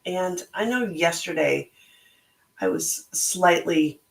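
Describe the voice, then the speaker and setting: A middle-aged woman speaks slowly and deliberately, her voice slightly hoarse with a gravelly edge. She talks in a thoughtful, motivational manner, as if reflecting aloud. The room around her is echoey. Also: on the low side, animated. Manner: slow, thoughtful, motivational delivery. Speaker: podcast host and book club organizer. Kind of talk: reflective solo talk.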